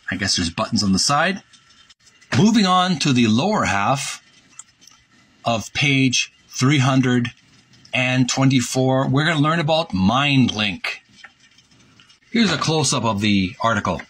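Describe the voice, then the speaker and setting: A man hosting a retro gaming video, reading a book aloud in a halting, deliberate way with casual commentary. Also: mid-range voice, pushed and bright, breathy edge, low-quality recording. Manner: halting, deliberate, repeats himself.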